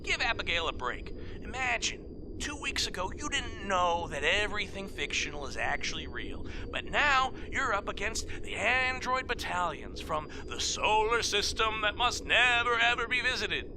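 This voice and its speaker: A man playing a whiny, excitable, sarcastic sidekick creature in a comedic audio drama. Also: high-pitched, normal speed, background noise. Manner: sarcastic, complaining.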